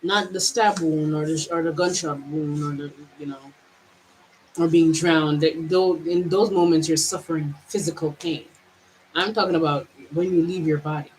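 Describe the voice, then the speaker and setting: A woman from Jamaica, a spiritual vlogger, musing aloud to her livestream viewers in halting phrases, with many restarts and drawn-out words. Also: deep voice, steady tone, a little mellow, slight background noise, rough recording. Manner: halting, with frequent restarts and stretched, slowed phrases. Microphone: camera mic.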